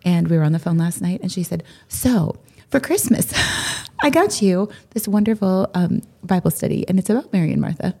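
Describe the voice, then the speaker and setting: A woman with a raspy, husky voice co-hosts a podcast in relaxed conversation. Her tone is low and mellow with a gravelly grain. She talks enthusiastically and tends to double up her words. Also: normal speed. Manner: enthusiastic, repeats herself, warm and gushing. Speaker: podcast co-host. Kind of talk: casual chat.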